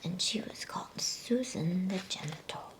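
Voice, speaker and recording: A woman reading a storybook in a soft, breathy ASMR whisper, with a soothing, unhurried manner and casual delivery. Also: on the low side, low-quality recording. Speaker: ASMR bedtime story reader.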